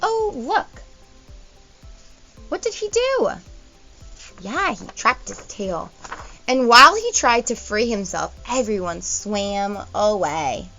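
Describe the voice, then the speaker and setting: A woman's voice: fairly high pitch, sing-song, slow, long pauses, sharp tone, muffled recording, soft background music. A woman teacher reading a picture book to children, expressively, with explanatory asides for young listeners.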